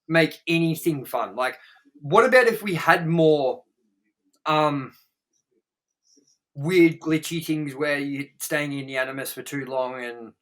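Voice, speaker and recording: A man with a bright, forward voice talks through an opinionated review as a podcast host. His delivery is casual and rambling, blunt and peppered with swearing. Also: mid-range voice.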